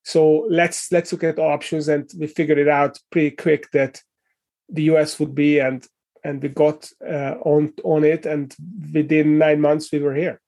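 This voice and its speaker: A man's voice: on the high side, little pitch movement, measured pace, warm tone. A middle-aged man with a Hungarian accent, a guest answering interview questions in a thoughtful way with many fillers and restarts. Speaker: guest, leadership coach and author.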